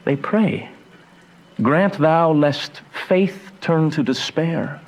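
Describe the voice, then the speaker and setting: Lovestruck young gentleman, unhurried. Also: a little high, highs cut off. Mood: tender wooing. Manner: reverent, poetic delivery. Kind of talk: Shakespearean radio drama acting.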